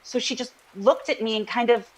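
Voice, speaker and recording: An actress playing a troubled woman who recounts an eerie dream, halting and searching for her words in a naturalistic audio drama style. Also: a little high, fairly even intonation, natural voice, rough recording. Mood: halting, puzzled.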